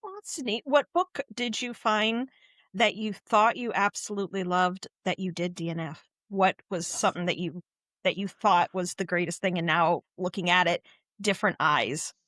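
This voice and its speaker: A woman's voice: on the low side, normal speed, fairly bright tone, no echo, studio quality. A woman hosting a casual podcast chat, eagerly asking questions and laughing easily. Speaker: podcast host.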